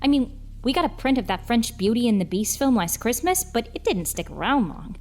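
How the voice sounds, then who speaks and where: An actress playing an eager, chatty young 1940s cinema usherette, gushing and gossipy with wholesome old-fashioned slang in an audio drama. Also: female voice, on the high side, very animated, a little fast, slight background noise. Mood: puzzled, excited. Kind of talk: film noir audio drama acting.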